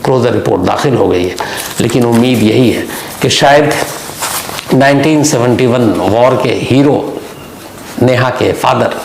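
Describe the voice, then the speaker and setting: A man with a gravelly voice telling a true-crime story as a monologue, deliberately, posing rhetorical questions to the listener. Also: mid-range voice. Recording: echoey room with faint background noise.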